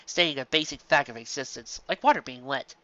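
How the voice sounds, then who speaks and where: Fan-fiction narrator, bright, forward tone, scripted storytelling, somewhat muffled lo-fi home recording. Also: medium pitch, a little fast, no room sound.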